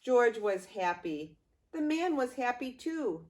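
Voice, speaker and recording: An older woman reading a picture book aloud to young grandchildren, expressively, with playful asides to the listeners. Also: on the high side. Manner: expressive, unhurried, addressing small children.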